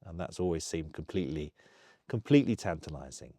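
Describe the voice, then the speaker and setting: Englishman, interview talk. Low-pitched, mellow, closely recorded with no room. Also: expressive, a little fast, dry recording, clean studio sound.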